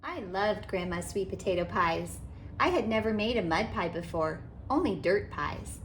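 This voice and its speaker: A woman hosting a read-aloud as a children's author, narrating a picture book in a deliberate, animated way for young children. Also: mid-range voice, slight background noise. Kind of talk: expressive children's storybook narration.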